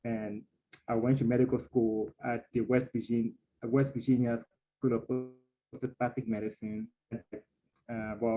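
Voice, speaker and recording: A young man moderating an online panel in a flat, even tone. His voice is mellow and dark. The video call audio sounds a little dull and lo-fi. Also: mid-range voice. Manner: steady, even delivery with frequent fillers. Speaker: webinar host and council president.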